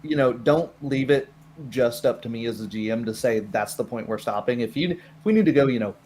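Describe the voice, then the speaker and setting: A man's voice: mid-range voice, brisk, a little mellow, a little hiss or hum. A man chatting casually as a game master, agreeable and often checking in with his listeners. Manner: chatty and agreeable, often asking "right?" and "you know?". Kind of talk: casual chat after a tabletop roleplaying session.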